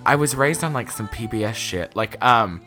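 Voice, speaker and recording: A young man in his twenties from the American South talks as a podcast guest. His voice is bright and forceful, and he is chatty and animated, with plenty of fillers. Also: fairly low pitch, normal speed, soft background music. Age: 20s. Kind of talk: casual podcast chat.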